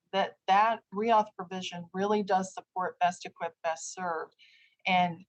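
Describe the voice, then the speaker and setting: A woman's voice: fairly low pitch, low-quality recording. A woman giving explanatory testimony as an official at a formal hearing. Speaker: government aviation official testifying.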